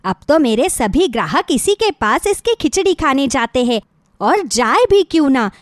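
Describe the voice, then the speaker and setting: A woman playing a jealous, rude food vendor with a sharp-tongued, hawking manner in an animated moral story. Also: sits high, dry recording, clean studio sound.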